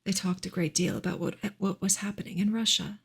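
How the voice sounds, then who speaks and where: Woman, ASMR book reading, hushed and very breathy, creaky edges, mid pitch, gentle and measured. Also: fairly even intonation. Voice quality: soft, breathy, often near a whisper. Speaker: streamer reading a novel aloud. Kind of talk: soft-spoken ASMR audiobook reading.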